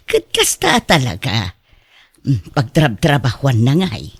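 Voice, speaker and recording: An actor playing a doting, teasing parent of a grown son in an Ilocano radio drama, advising in an animated way. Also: male voice, medium pitch, slow pace, slightly rough, no echo, full character voice. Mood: teasing, amused.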